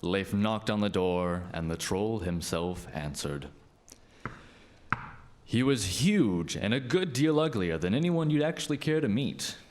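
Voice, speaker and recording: A man's voice: fairly low pitch, sing-song, measured pace, darkish tone. A man playing a storyteller, narrating a scripted folktale. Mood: storytelling.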